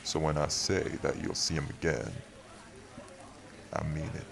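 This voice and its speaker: Man acting a very deep, creaky gentleman. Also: little pitch movement, a crowd in the background, full character voice. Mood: reassuring, earnest. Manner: folksy, measured, addresses listener directly. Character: smooth-talking man of authority, courteous, protective, firm. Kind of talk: audio roleplay acting.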